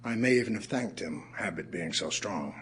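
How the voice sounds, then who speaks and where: An actor playing a weary, wry male writer, reciting poems and prose as a measured, literary storyteller in an audio play. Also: lowish voice, lively intonation, fairly bright tone, slight background noise. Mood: wry, subdued.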